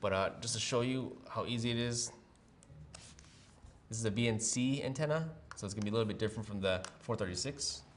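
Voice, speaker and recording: Man, low voice, casual product demo, clear background noise with handling clicks. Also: a little fast. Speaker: product demonstrator. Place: room with brick wall, at a table.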